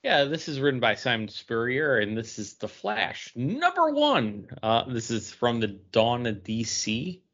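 A man's voice: medium pitch, wildly swooping pitch, unhurried, few pauses, fairly bright tone. A man co-hosting a podcast, chatting casually about comic books. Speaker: podcast host. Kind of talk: casual comic book review chat. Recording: dull, lo-fi sound.

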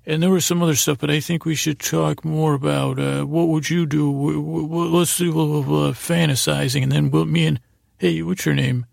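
Man as pesky voice in someone's head, eager, chatty, stammering insistence, slightly lifted pitch, gravelly, bedtime story. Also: steady tone, normal speed, dry recording, clean studio sound. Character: pesky, eager imaginary voices inside a sleepy man's head.

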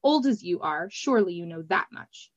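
A blunt, irritable fourteen-year-old mage girl, as a woman reader puts her on. She scolds with flat impatience. The sound is dry and a little dull. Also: fairly low pitch, normal speed, no room sound. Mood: scolding. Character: irritable, sharp-tongued teenage girl mage.

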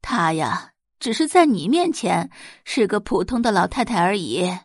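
A woman voicing a scornful, sharp-tongued middle-aged mother who talks in a sneering way, in an audio drama. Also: mid-range voice, very animated, measured pace, no echo, clean studio sound. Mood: curious. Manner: sneering, contemptuous.

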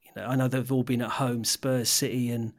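A man's voice: medium pitch, flat delivery, fairly quick. A man co-hosting a casual football podcast, chatty and laughing often, with hesitant restarts as he reads out stats. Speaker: podcast co-host.

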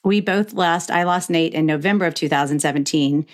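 A woman's voice: sits low, brisk. A middle-aged woman hosting a candid conversational interview, speaking frankly and emphatically with the odd mild swear. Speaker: podcast host.

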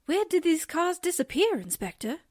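An actress playing a naive, eager newspaper sidekick in a 1940s-style radio drama, with a folksy, wide-eyed, drawn-out delivery. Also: female voice, very high-pitched, big pitch swings, dry recording, clean studio sound. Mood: puzzled. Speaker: voice actor in an audio drama.

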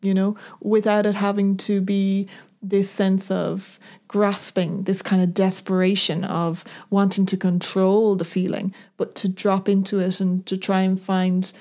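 A woman's voice: medium pitch, little pitch movement, measured pace, mellow. A woman co-hosting a talk podcast, thoughtful and earnest, searching for words as she reflects. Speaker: podcast co-host. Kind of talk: reflective conversational discussion.

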